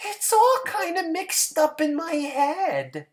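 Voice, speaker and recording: High, dim, sweet housewife. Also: male voice, huge pitch swings, slow, heavily acted. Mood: vague, placid. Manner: dim, childlike, cheerful. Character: simple-minded, sweet, forgetful housewife.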